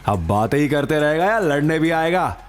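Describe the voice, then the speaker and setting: A man puts on a huge bullying gang thug in an audio drama. He roars his threats with hot temper, voice pushed up high over faint music. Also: expressive, moderate pace, natural voice. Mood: menacing contempt. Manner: bullying, roaring. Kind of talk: audio drama villain acting.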